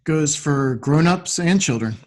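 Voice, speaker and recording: Casting: male podcast host, slightly high-pitched voice, interview style. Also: lively intonation, a little slow, darkish tone. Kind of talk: interview hosting.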